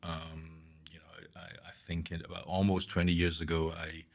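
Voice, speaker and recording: A man from Scotland with a very low, deep voice, speaking as an interview guest. He talks hesitantly, repeating words and trailing off to a near murmur at the ends of his thoughts. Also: monotone, moderate pace, creaky. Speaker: guest architect. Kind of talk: conversational interview.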